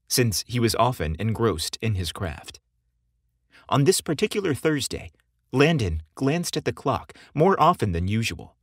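A man hosting and narrating a scripted story podcast in a theatrical, expressive storytelling style. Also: medium pitch, breathy edge, no room sound, studio quality. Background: music behind the voice.

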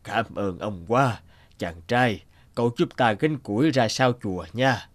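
A man playing a kindly old Buddhist head monk in a cartoon folk tale, gentle and unhurried. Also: mid-range voice, animated, natural voice.

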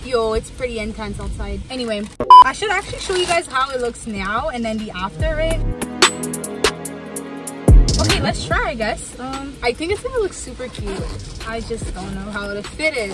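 A young woman chatting excitedly to her phone camera while cleaning and decorating her car, with lots of "like" and "dang". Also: on the high side, normal speed. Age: young adult. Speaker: vlogger. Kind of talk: casual vlog chat to camera. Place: in a car and at home indoors. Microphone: phone camera at arm's length. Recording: music playing behind.

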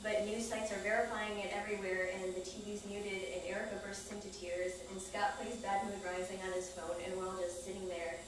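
A woman's voice: medium pitch, little pitch movement, brisk, hardly any pauses. A woman reading a scripted personal account aloud on a small theater stage. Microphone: far camera microphone. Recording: echoey, noisy, distant stage recording.

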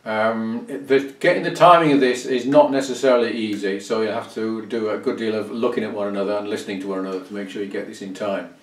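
An older man giving an informal instructional music lesson as a fiddle teacher, speaking with hesitant pauses mid-phrase. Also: fairly low pitch, steady tone. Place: small indoor music room at home. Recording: roomy, distant microphone sound.

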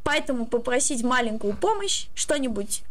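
A woman in her twenties giving advice in casual, halting, explanatory talk. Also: sits high, very animated, crowd noise. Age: 20s.

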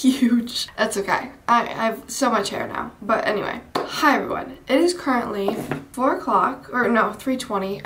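A woman's voice: on the high side, a little hiss or hum. A young woman vlogging at home in casual chat, rambling with lots of filler words and holding the camera at arm's length in her bedroom. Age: young adult. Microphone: camera held at arm's length.

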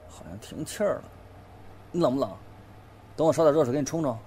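An actor playing a flustered, excitable, blustering young man in a Chinese audio drama, swinging from muttering to shouting. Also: a little high, quick pace, slight background noise, close to an everyday voice. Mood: attentive.

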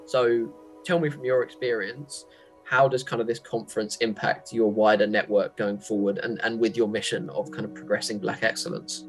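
A man's voice: on the low side, steady tone, normal speed, breathy edge, faint music behind, poor audio. A young man asking questions in an informal, hedging way as an interviewer on a video call. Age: young adult. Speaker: interviewer, magazine editor. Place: small room at home, video call.

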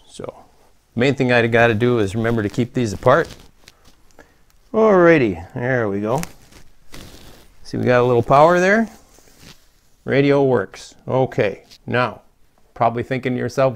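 A middle-aged man with a gravelly voice gives a casual, folksy repair tutorial, talking through each step as he works. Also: mid-range voice. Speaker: host of a CB radio repair channel. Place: small plain indoor room, seated at a table.

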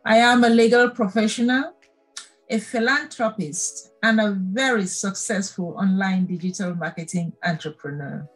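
A woman's voice: mid-range voice, normal speed, husky, faint music behind, low-quality recording. A woman from West Africa, a legal professional, introducing herself in a proud, emphatic way. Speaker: legal professional and online entrepreneur. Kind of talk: promotional testimonial.

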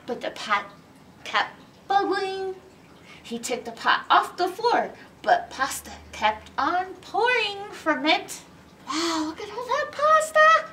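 A middle-aged woman reads a children's storybook with a very lively, swooping delivery. She takes her time and performs the story dramatically. There is faint noise in the recording. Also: high-pitched, far from the mic. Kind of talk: animated storybook read-aloud. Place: indoors in a library by bookshelves.

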